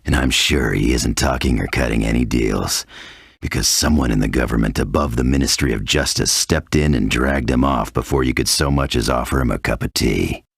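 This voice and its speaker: An actor playing a cynical, hard-bitten undercover tough guy in a video game, gravelly and husky, with a blunt, sardonic delivery. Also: extremely low pitch, normal speed, no echo, clean studio sound, heavily acted. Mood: knowing. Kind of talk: video game cutscene acting.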